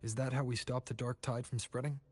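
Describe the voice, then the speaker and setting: A man playing a calm, curious young traveller hero in a fantasy game. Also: mid-range voice, a little fast, slightly rough, dry recording, natural voice. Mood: curious, thoughtful. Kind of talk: scripted fantasy game dialogue.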